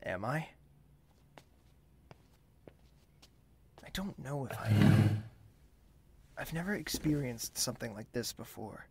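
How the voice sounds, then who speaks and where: Proud, tender-hearted young prince played by a man, formal and earnest fantasy drama delivery, medium pitch with some fry. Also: lively intonation, normal speed, noisy background, close to an everyday voice. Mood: uncertain, lovestruck. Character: lovestruck young male royal, formal, proud, easily wounded. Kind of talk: fantasy audio drama acting.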